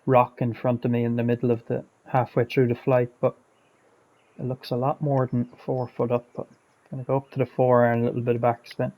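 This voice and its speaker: Man, gaming host, flat even delivery, a bit hoarse, chatty self-critical play-by-play. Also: mid-range voice, fairly quick, rough recording. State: losing his voice, clearing throat. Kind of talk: gameplay commentary.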